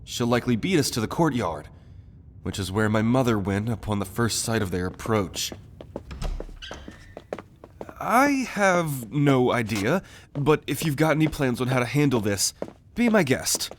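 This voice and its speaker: A man's voice: medium pitch, sing-song, unhurried, slight background noise. A man playing a loyal, principled young prince in a fantasy audio roleplay, with an earnest, courteous manner. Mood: eager, urgent.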